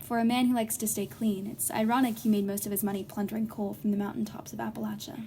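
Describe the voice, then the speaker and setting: An actress playing a serious, composed reporter, narrating and asking questions in a scripted investigative podcast. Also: female voice, medium pitch, little pitch movement, mellow, a little hiss or hum, close to an everyday voice. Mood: probing. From Northern Virginia. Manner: measured, probing. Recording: music behind the voice.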